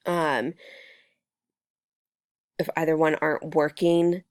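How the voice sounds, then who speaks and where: A young woman student with a cold, explaining coursework in a measured way with frequent ums and restarts. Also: low voice, fairly even intonation, a little mellow, clean tone, no room sound, studio quality. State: sick with a cold, voice strained. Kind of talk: recorded class presentation.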